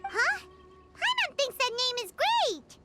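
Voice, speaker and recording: A tiny, excitable fairy companion from an anime game. The voice is extremely high and squeaky, childlike and naive. The delivery is exclamatory and unhurried, over loud music. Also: female voice, heavily acted. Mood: cheerful, indignant. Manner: speaks of self in third person, exclamatory.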